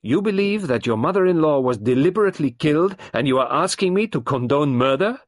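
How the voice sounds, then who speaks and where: A man's voice: a little high, expressive, a little fast, no room sound, studio quality, pitched above the performer's own voice, close to an everyday voice. A man reading the part of a stern, precise elderly male detective who speaks formally and insistently.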